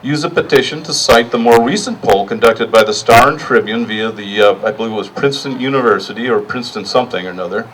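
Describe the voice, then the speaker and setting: A middle-aged man addresses a public rally with a medium-pitched voice. He speaks plainly and deliberately, partly off the cuff, pausing to stress words. The voice is picked up from a distance, with some noise around it. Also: a bit bright.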